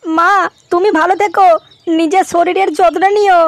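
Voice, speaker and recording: A man voicing a lazy, childish grown-up son in a comedy cartoon, with a pitched-up voice. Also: steady tone, moderate pace, creaky. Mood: tearful farewell.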